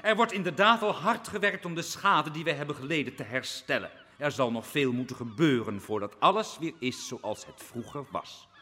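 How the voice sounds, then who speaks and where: An actor playing a deferential village mayor, earnestly declaiming a formal speech of thanks in a radio drama. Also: male voice, on the high side, lively intonation, moderate pace, few pauses, sharp tone. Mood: ceremonious, grateful. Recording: long hall reverberation.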